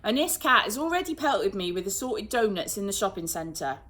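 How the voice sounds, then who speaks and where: A man's voice: high voice, big pitch swings, moderate pace, pushed and bright, full character voice. A woman playing a smug, smirking police sergeant. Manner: smirking, cliche-loving, dry. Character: smug middle-aged male police sergeant.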